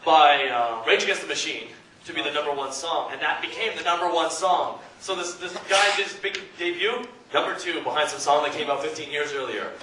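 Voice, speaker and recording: A man's voice: fairly high pitch, a little fast, hardly any pauses. A man on a convention panel telling stories in a casual, joking, rambling way. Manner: animated, joking, rambling storytelling. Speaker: panelist and abridged-series voice actor. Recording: echoey hall, distant mic, noisy crowd. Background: audience noise.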